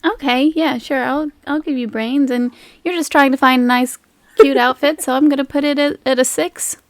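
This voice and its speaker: A woman's voice: sits high, steady tone, normal speed, no echo. A woman working as game master, narrating an improvised tabletop game in a playful, conversational way.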